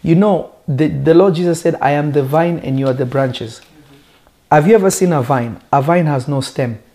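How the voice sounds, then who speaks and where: A man who is a native Lingala speaker, preaching in a conversational teaching style with emphatic, deliberate phrasing and rhetorical questions. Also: a little high, mellow.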